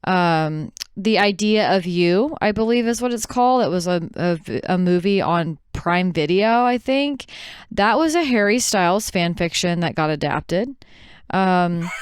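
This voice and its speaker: A woman's voice: medium pitch, clean tone, no echo, studio quality. A woman podcast host talking casually and conversationally, explaining things to her co-hosts and listeners.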